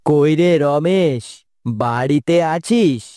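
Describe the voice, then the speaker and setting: A man playing an elderly village uncle in a cartoon, dramatic and ominous with drawn-out words. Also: on the high side, expressive, warm tone, no echo, clean studio sound. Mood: calling out.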